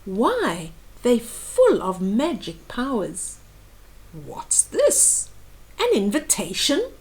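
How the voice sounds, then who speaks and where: A woman acts out an excitable storybook wizard, delighted with himself. The voice is high and swoops widely, with theatrical, chuckling exclamations. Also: extremely slow, exaggerated voice. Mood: gleeful, excited.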